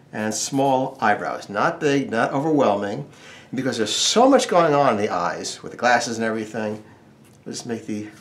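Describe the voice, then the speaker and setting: Guy walking thru a drawing casually, gravelly voice, jokey asides, bit of noise behind. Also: medium pitch. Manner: wry, joking asides. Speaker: drawing instructor. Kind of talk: casual step-by-step drawing tutorial.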